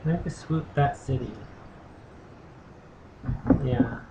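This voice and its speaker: A man with a raspy, worn-out voice chats loosely over a game stream, mid-pitched and unhurried with plenty of ums. A clear hiss of background noise sits under the recording. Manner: relaxed, thinking aloud with fillers. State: raspy, tired from little sleep. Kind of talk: casual gameplay commentary.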